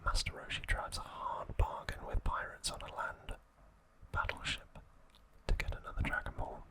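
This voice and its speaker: A man narrating a scripted ASMR video in a breathy whisper, with deliberate phrasing in short pieces. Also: bright, forceful tone. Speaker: host of an ASMR channel.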